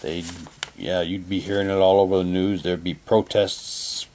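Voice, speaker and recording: A man's voice: low-pitched, fairly even intonation, moderate pace, a little mellow. A man casually reading printed material aloud and commenting on it, with offhand muttered asides. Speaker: unboxing presenter.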